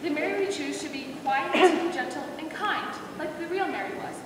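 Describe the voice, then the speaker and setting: A woman playing a brisk, firm director of a children's Christmas pageant in an amateur readers theater skit, instructive and no-nonsense. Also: sits high, lightly acted. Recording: distant, very echoey hall with background noise.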